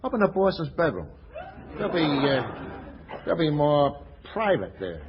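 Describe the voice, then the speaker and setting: A breezy, slangy mob henchman giving casual orders. His voice is somewhat high and bouncy in pitch. The 1940s radio comedy recording is quite noisy. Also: very animated, measured pace. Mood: matter-of-fact. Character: matter-of-fact gangster henchman, breezy ringleader. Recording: dull, noisy old radio, clicks.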